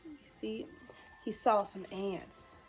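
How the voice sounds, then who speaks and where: A woman's voice: medium pitch, slow pace, faint music behind, poor audio. A woman hosting a children's storytime, reading a picture book expressively with playful, exclamatory asides. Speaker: storytime host.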